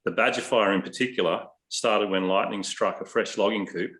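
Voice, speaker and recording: A man's voice: lowish voice, moderate pace, fairly bright tone. An Australian man making his case as a candidate at an online forum. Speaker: election candidate. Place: online video call.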